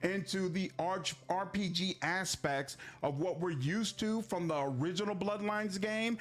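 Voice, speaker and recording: Indignant high-voiced man ranting. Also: a little slow. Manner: indignant, emphatic repeating. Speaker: gaming commentator in a played clip. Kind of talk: video commentary.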